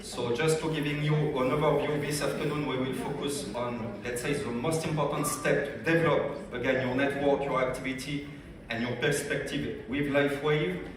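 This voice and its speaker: A man from France with a strong French accent gives a lively business training talk at a convention, often asking the audience questions. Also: medium pitch, normal speed, hardly any pauses, crowd noise. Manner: energetic, addresses audience directly with questions. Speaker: business development director. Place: large convention hall. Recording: echoey hall, speaker far from mic.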